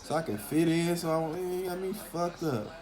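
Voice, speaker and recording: A man's voice: fairly high pitch, normal speed, over music. A man from Memphis with a Southern accent, giving a casual, opinionated monologue in blunt slang with plenty of swearing. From Memphis, southern United States. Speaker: talk host.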